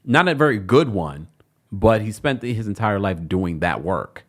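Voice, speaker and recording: Man, host, gossip commentary, sassy and mocking, lively pitch. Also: a little slow. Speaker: show host. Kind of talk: commentary on celebrity news.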